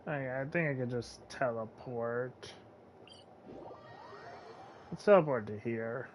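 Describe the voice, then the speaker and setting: A man's voice: mid-range voice, takes it slowly, a little hiss or hum. A man giving casual live commentary as a game streamer, with wry, sarcastic asides.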